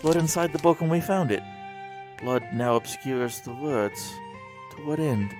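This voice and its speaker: An actor playing a tormented, grief-stricken man descending into madness in an audio drama, distraught and halting, with formal wording. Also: medium pitch, expressive, over music, close to an everyday voice. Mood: brooding.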